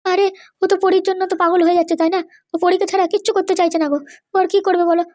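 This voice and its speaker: A woman's voice: extremely high pitch, flat delivery, racing, a little mellow. A woman voicing an anxious older parent in an amateur cartoon, pleading and insistent. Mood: worried.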